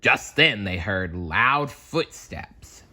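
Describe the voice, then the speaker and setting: A man reading a children's story aloud with playful, dramatic, expressive narration. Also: fairly low pitch, drawn-out words, noisy background.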